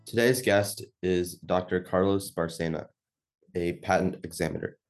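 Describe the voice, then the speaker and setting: A man with a very low voice hosts a podcast interview. He reads out prepared questions quickly. He slips casual "like" fillers into his phrasing. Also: fairly even intonation, faint music behind.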